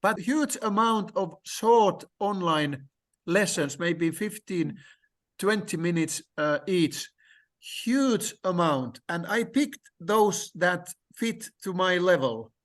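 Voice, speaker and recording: A man whose first language is Finnish, talking slowly and hesitantly in a discussion, with many fillers and restarts. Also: high-pitched, animated, fairly bright tone. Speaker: language learner sharing experience. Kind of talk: conversational discussion.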